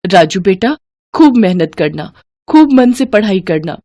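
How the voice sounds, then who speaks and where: Devout, loving poor mother for a moral tale, played by a woman, earnest and tender, medium pitch. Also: big pitch swings, unhurried, dark tone, no room sound, studio quality. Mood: grateful.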